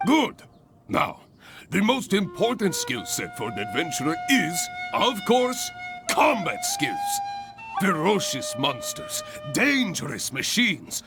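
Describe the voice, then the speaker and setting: An actor playing a hearty, grandiose adventurers' guild examiner in a video game, speaking in formal, exuberant flourishes. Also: male voice, a little high, huge pitch swings, slow pace, over music, full character voice. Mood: rousing, grand. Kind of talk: English video game voice acting.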